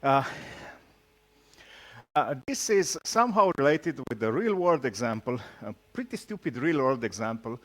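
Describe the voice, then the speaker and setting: A man from Romania with a Romanian accent gives an informal tech talk, speaking haltingly and teasing his audience with jokes. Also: medium pitch, expressive. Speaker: conference speaker, solution architect.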